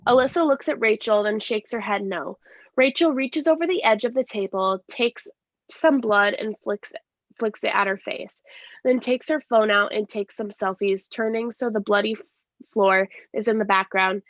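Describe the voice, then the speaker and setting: Woman narrator, vocal fry, plain stage-direction reading, slight stumbles, dry lo-fi sound. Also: medium pitch, moderate pace, no room sound. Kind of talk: scripted narration.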